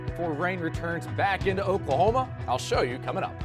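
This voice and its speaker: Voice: medium pitch, lively intonation, a little fast, over loud music. An American weather presenter giving a scripted forecast teaser.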